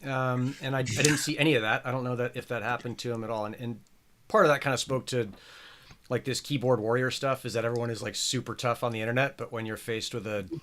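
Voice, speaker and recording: A man's voice: medium pitch, fairly even intonation, fast talker, a bit bright. A man hosting a casual podcast chat, rambling and chatty with plenty of filler words. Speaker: show host.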